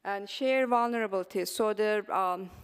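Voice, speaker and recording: A woman's voice: mid-range voice, moderate pace, clear, resonant tone. Turkish-accented woman presenting in a hall. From Turkey. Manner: frequent um and uh fillers, earnest and encouraging. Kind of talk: conference presentation. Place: symposium hall.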